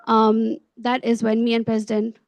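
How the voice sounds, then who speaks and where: A woman's voice: a little high, monotone, moderate pace, no echo. A young adult woman speaking as a student government officer giving a report at a meeting. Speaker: student government vice president.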